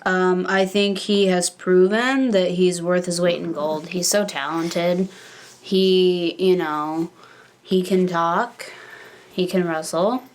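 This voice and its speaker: Woman, podcast co-host, lowish pitch, flat intonation, casual and opinionated. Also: takes it slowly. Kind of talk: casual wrestling discussion.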